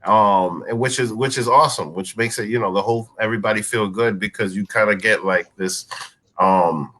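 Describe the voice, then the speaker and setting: A man's voice: on the low side, a bit bright. Insistent man in a casual film debate. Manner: insistent, halting, repeats phrases. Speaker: panelist on a film review show.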